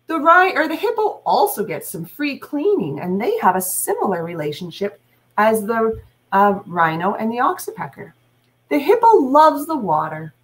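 Slow-paced, lively-pitched woman teacher. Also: low-quality recording. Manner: deliberate, with questions put to young listeners. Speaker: teacher reading aloud to children. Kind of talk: read-aloud with explanatory asides to children. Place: small room at home, indoors.

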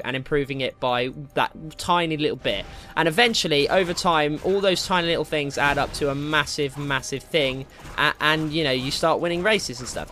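A man's voice: a little high, bright, forceful tone. A young British man giving casual, rambling, jokey gameplay commentary, easily distracted as he goes. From Britain. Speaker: gaming video host.

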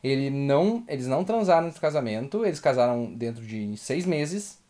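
A man's voice: mid-range voice, expressive, moderate pace, warm tone. A man with a southern Brazilian accent chatting casually and bluntly as co-host of a livestream. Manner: blunt, colloquial. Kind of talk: casual commentary chat. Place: small home room indoors, webcam livestream.

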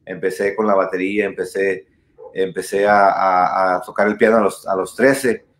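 A low-voiced man of thirty speaks over a webcam mic, his words bouncing around a small echoey room. He talks earnestly and haltingly, circling back with fillers and restarts as he explains things. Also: flat delivery, slight background noise. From born in Texas, raised in San Diego and Tijuana. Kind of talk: casual interview over video call. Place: small room indoors at home. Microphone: webcam mic on video call.

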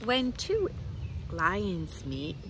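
A middle-aged woman reads a picture book aloud to children outdoors, with expressive, deliberate phrasing. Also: mid-range voice, background noise. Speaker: children's librarian, storytime reader. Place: outdoors in a leafy garden.

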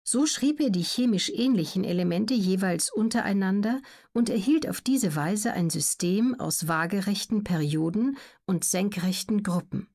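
A woman reads scripted educational narration for an audiobook in a measured, factual manner. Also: mid-range voice, gravelly, darkish tone.

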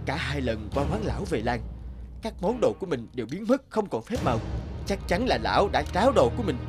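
A burly, hot-tempered young woodcutter. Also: male voice, fairly high pitch, very animated, a little fast, over music. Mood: determined. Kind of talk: cartoon voice acting.

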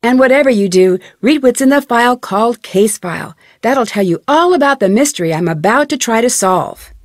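A woman's voice: a little high, expressive, brisk, no room sound, clean studio sound, lightly acted. A woman playing a curious, friendly young amateur detective in a video game, with upbeat, conversational scripted delivery. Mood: friendly, instructive. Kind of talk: scripted video game dialogue and tutorial narration.

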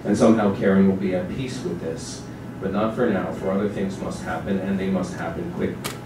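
Echoey, very low-voiced man reading dramatically. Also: fairly even intonation. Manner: measured, deliberate, literary delivery. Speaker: narrator in a staged dramatic reading. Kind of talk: dramatic scripted narration. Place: small theatre stage with seated audience. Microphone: distant camera microphone. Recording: echoey stage recording from far off, noisy.

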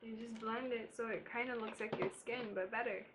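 A woman's voice: fairly high pitch, fairly even intonation, fairly quick, background noise. A young woman from Barbados, chatting casually with laughing asides as she does her makeup in a bedroom. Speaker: beauty vlogger. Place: bedroom, indoors.

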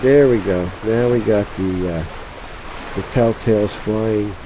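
Low-voiced man over loud water noise. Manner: halting, thinking aloud. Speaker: tutorial presenter. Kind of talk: unscripted how-to commentary over a sailing game. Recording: steady sea and water noise behind. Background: sailing game sounds of boat and water.

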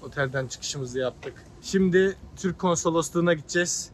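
A man's voice: fairly high pitch, normal speed. A man vlogging casually while walking through a city, chatty and teasing. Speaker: travel vlogger. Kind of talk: casual vlog narration. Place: outdoors in a city, streets and buildings. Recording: noisy city street sound.

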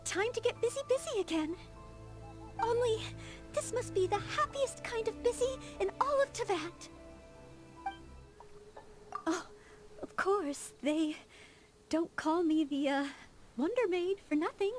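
A woman's voice: very high voice, lively intonation, measured pace, long pauses. A woman playing an eager, polite young maid in a video game, earnest and humble, with a formal, dutiful, slightly flustered manner. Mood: excited. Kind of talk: video game character dialogue. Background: faint game music.

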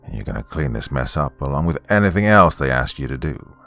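A man voicing a burly, stern steelworker father in a booming, gruff voice, as in a told story. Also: expressive, fairly quick, pushed and bright, exaggerated voice. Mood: stern, angry. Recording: music behind the voice.

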